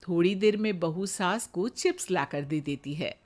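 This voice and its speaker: Bedtime-story narrator: medium pitch, unhurried pace, scripted storytelling delivery. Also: a little mellow.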